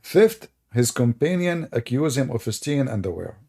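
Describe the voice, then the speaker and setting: Gravelly, sarcastic man with an Arabic accent. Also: medium pitch, sing-song, moderate pace, dark tone. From Middle East. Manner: sarcastic, teasing, jokey. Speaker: live stream host. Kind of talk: mocking commentary on a live stream.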